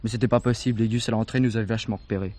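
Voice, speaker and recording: A cheeky working-class teenage lad from a radio play, played by a man. He rattles along very quickly in slangy, insolent phrases, always defensive and offhand. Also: fairly low pitch, steady tone, dark tone, natural voice. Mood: offhand, grudging. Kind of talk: French radio drama dialogue.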